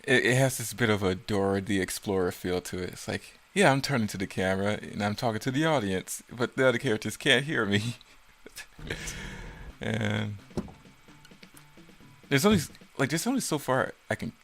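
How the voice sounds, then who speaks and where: A man with a fairly low voice reviews his own work in an unscripted way. He rambles casually and picks at his own flaws as he goes. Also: expressive, moderate pace, creaky, a bit bright, slight background noise. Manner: self-critical, rambling. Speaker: creator commenting on own work.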